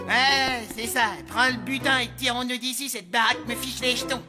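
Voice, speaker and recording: A woman as a high-pitched grumpy cartoon burglar. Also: steady tone, moderate pace, over loud music, lightly acted. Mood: irritated. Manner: curt, bossy. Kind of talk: French cartoon dub.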